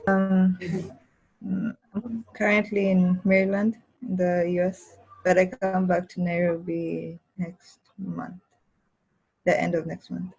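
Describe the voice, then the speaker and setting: A woman, a class participant, answering calmly and matter-of-factly on a video call. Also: lowish voice, slow, creaky, slightly rough, no room sound. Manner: calm, matter-of-fact. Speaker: course participant. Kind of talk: casual video-call chat. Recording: dull, dry video-call audio.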